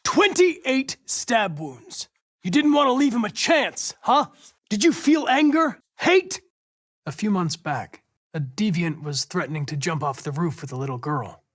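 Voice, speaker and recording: A man's voice: high voice, sing-song, measured pace, fairly bright tone, exaggerated voice. A man voicing a polite, coolly logical young android detective in a video game, with formal, precise phrasing. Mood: accusing, then soft, sympathetic. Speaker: police investigator android.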